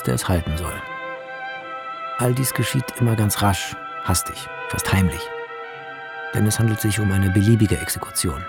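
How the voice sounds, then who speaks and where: A man giving measured scripted narration of film scenes, with clipped scene directions. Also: on the low side, gravelly.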